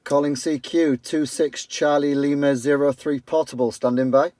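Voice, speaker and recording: A man's voice: a little high, fairly even intonation, normal speed, noisy background. A man from York, running a portable CB station outdoors by his house. He is friendly and keeps calling the other operator "my friend.". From York, England. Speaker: CB radio operator. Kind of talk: radio contact exchange.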